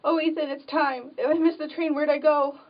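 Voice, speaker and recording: A high, earnest voice, a woman acting a timid young woman desperately in love in a stage drama. She sounds pleading and devoted, picked up dully from some distance. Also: steady tone, a little fast, close to an everyday voice. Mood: desperate urging. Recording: dull, distant microphone.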